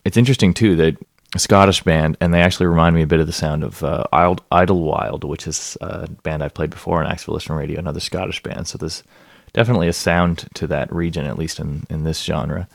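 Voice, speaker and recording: A man presents songs on his radio show in a relaxed, rambling way, often pausing to find his words. His voice sits extremely low and has a gravelly, fried edge. The sound is dry, with no room around it. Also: no echo. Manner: easygoing, chatty, hesitant with many fillers. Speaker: radio music show host. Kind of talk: casual radio commentary between songs. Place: Prince Edward Island.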